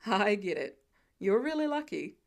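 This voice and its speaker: A woman voicing a calm, fatherly middle-aged male lieutenant in a narrated story, measured and polite. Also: medium pitch. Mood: serious.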